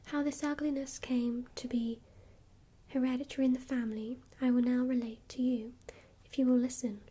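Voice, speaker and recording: A woman whispering the lines of a strange old male clockmaker godfather in a grating, monotonous manner. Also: high-pitched, normal speed, slightly rough. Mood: teasing.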